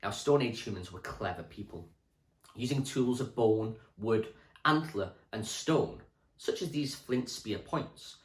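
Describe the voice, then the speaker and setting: Lively male teacher reading aloud, echoey room. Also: fairly low pitch. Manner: clear, expressive storytelling delivery for children. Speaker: primary school teacher. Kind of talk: reading a children's history book aloud as a lesson.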